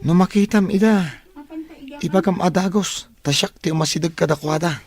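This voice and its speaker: An actor plays an eager young student buddy in an Ilocano radio drama. He has a fairly high male voice with a noticeable creaky rasp and a helpful, loyal manner. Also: moderate pace, a little mellow. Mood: eager, obliging.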